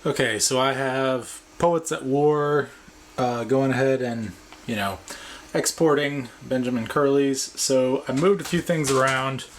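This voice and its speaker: A man's voice: medium pitch, measured pace, slight background noise. Casual, rambling man vlogging. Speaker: vlogger, video editor. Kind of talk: casual vlog talk and tutorial.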